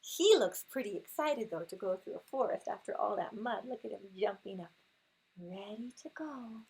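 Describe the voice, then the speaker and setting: A woman's voice: mid-range voice, lively intonation, moderate pace, a little mellow, slightly rough, rough recording. A woman reading a picture book aloud to young children, acting out sound words expressively and chatting to her listeners. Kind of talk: animated read-aloud with asides to young listeners.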